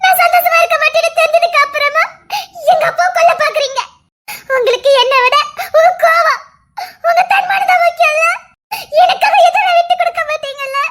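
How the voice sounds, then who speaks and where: An actress playing a young woman who accuses her family through tears, shouting and sobbing in a TV serial drama. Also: extremely high pitch, lively intonation, quick pace, full character voice. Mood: tearful accusing. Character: young woman, fierce defiant daughter defending her father.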